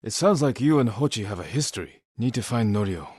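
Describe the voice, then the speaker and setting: A husky, fairly low male voice as a stoic samurai in a dramatic video game. He speaks in terse, measured phrases with grave determination. The sound is close and dry. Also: expressive, a little mellow, no echo. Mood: concerned, resolute. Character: stoic, determined samurai warrior, adult man.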